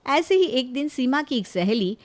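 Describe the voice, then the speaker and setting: A woman's voice: high-pitched, sing-song, moderate pace. A woman reading scripted narration for a moral family story.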